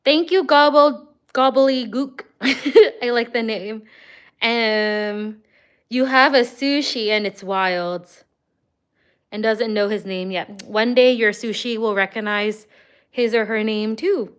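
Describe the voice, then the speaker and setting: A woman's voice: fairly high pitch, fairly even intonation, slow, clean tone. A woman hosting a casual livestream, chatting as she answers viewer comments, with frequent "like" and "um" fillers. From Canada.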